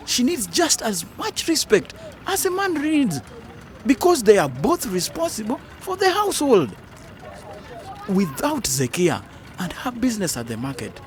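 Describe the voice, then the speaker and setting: A man's voice: very high-pitched, exaggerated voice. A man playing an earnest, indignant councillor in an educational radio drama, lecturing a friend in a preachy, emphatic way.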